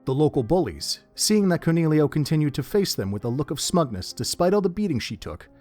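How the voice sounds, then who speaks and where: A man narrating an audio drama in an expressive storybook manner. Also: a little high, a little fast. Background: faint music.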